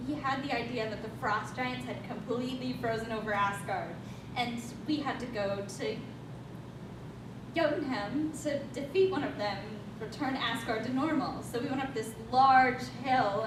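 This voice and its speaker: A distant, echoey stage recording with clear rumbling noise. A woman plays a young, defensive, guilt-ridden woman with a high-pitched voice, telling her story in an emphatic, confessional manner. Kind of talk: staged reading, dramatic monologue.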